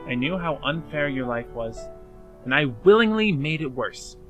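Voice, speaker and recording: A man's voice: a little high, expressive, moderate pace, muffled recording, over music, lightly acted. A man playing an anxious, self-blaming young man in a fan dub drama, speaking earnestly and hesitantly. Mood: ashamed, confessing.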